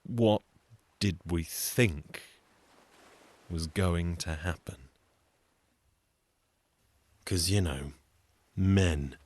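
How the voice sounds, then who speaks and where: A man telling a folktale in a wry, conversational way with deadpan asides. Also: low voice, extremely slow, pauses often. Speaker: storyteller and folk singer. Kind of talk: wry conversational storytelling with song.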